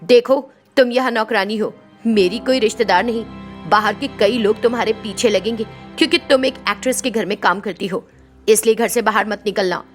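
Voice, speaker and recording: A woman's voice: on the high side, normal speed, faint music behind, close to an everyday voice. A woman voicing a haughty, aloof film actress and mistress of the house, curt and imperious in a story. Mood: stern, warning.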